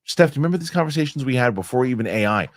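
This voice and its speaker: A man hosting a casual podcast chat, animated and rambling, often restarting his sentences. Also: mid-range voice, rapid-fire, no room sound, clean studio sound. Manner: animated, rambling, self-correcting with repeated starts. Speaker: show host.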